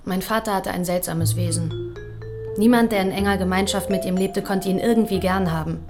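An actress playing a cool, clever heiress in a radio crime drama, speaking frankly, precisely and with composure. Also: female voice, medium pitch, steady tone, a little fast, over music, close to an everyday voice. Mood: cool, curt. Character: cool, frank, clever daughter of rich man.

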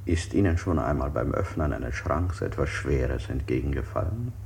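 An actor playing an unsettling interrogator in a German radio play, putting insistent, probing questions. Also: male voice, very deep voice, moderate pace, a little mellow. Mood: probing, menacing. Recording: hissy 1960s radio play recording.

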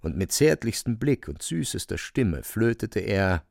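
A man narrating a radio drama from a script, in an expressive storytelling style. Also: lowish voice, measured pace, gravelly, no echo, studio quality.

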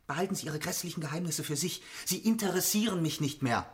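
A man plays a stern gentleman scientist in a radio drama. His voice is high for a man, and his speech is clipped, emphatic and full of stiff indignation. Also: fast talker, close to an everyday voice. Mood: indignant. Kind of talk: German radio drama acting.

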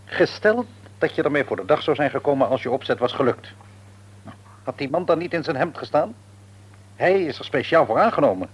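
Older dad in a radio play, husky voice, reasons things out, very up and down. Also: male voice, a little high, animated, fairly quick, pauses often, dull audio. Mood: reasoning. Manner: reasoning, hesitant at times, frank. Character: measured, frank older father gently reproving his grown son. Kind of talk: radio drama acting.

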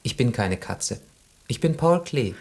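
A man's voice: mid-range voice, sing-song, unhurried, gravelly, husky, heavily acted. An actor playing a naive, pampered male cat in a radio play, speaking in an earnest, slightly stiff way. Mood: puzzled, naive. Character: naive, earnest, pampered tomcat newly in love. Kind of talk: German radio play acting.

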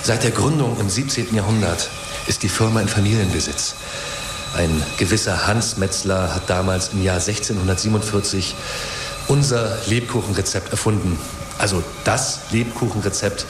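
A man's voice: fairly low pitch, normal speed, close to an everyday voice. Actor as chatty company man, echoey noisy room. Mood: chatty, proud. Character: chatty, jovial male company spokesman at gingerbread factory. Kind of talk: naturalistic radio drama acting.

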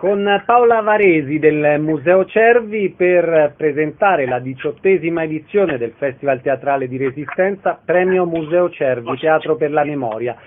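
Male radio host, slightly high-pitched, interviewer delivery, narrow phone-like band. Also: moderate pace, hardly any pauses, soft background music.

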